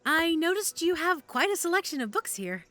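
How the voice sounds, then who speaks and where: A woman's voice: extremely high pitch, big pitch swings, a little fast, pushed and bright, heavily acted. A woman playing a composed, cautious character with a discreet manner in an audio drama. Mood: curious.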